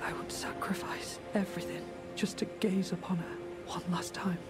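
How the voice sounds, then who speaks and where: An actor playing a gentle, timid, lovesick young man in a video game, hesitant and breathy, as if confessing. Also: sits high, fairly even intonation, normal speed, in a whisper, close to an everyday voice. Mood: lovesick, haunted. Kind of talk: video game character acting. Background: music.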